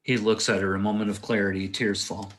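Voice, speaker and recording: A man's voice: fairly low pitch, flat delivery, a little fast, fairly bright tone. A man reading scripted action narration aloud at a table read. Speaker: stage directions reader.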